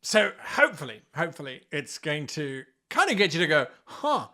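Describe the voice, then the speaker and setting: Animated, bright-voiced man presenting theatrically. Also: a little high, normal speed. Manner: theatrical, exaggerated emphasis. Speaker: host of a tabletop game-mastering show. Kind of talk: animated scripted explainer to camera.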